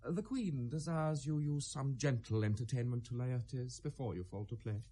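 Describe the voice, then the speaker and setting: An actor with a British accent playing a formal, courteous lord who bears royal messages in a classical radio drama. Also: male voice, medium pitch, moderate pace, warm tone, close to an everyday voice. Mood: formal, deferential.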